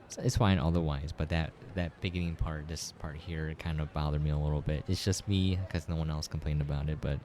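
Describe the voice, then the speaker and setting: A man's voice: extremely low pitch, fairly even intonation, brisk, hardly any pauses, warm tone, slight background noise. A man giving casual commentary while he plays through a game. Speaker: game preview player.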